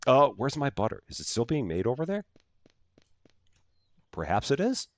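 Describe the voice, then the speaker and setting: A man's voice: medium pitch, huge pitch swings, moderate pace, dry recording. A middle-aged man from the Chicago area with a general American accent, hosting a live gaming stream and greeting viewers by name in upbeat, casual chat full of fillers and restarts. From Chicago area, USA.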